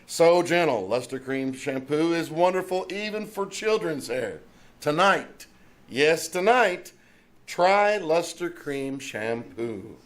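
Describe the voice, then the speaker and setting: A man's voice: on the high side, lively intonation, takes it slowly. A man playing a polished 1950s radio announcer reading scripted sponsor copy in a live stage show. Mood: polished, selling. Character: smooth 1950 radio announcer pitching shampoo. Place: small-town theater stage, performed live.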